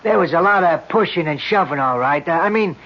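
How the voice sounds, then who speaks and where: High-voiced, halting working-class witness. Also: male voice, expressive. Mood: earnest, halting. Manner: halting, colloquial, rambling. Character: plain-spoken working-class male street eyewitness on the stand. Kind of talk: 1970s radio drama acting. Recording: dull old radio broadcast recording.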